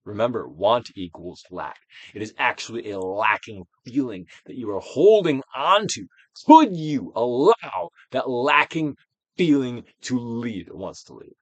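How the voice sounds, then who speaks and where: A man reading a self-help book aloud as a dramatic, guided-meditation narration, with deliberate actorly emphasis, swelling and dropping to a hush. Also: medium pitch, lively intonation, no room sound. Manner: theatrical, deliberate actorly delivery with emphatic swells and hushed drops.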